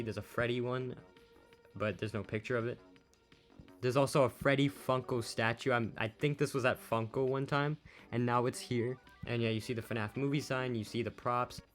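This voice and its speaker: A man hosts a casual gaming news summary in an informal, conversational way. His voice sits at a middling pitch. Soft background music with guitar plays behind him. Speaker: YouTube host giving gaming news. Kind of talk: casual news summary commentary over images.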